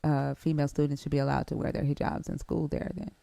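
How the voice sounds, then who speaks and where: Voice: mid-range voice, level tone, fast talker, gravelly, dark tone, dry recording. A live-stream host in casual conversation who keeps pressing with pointed follow-up questions.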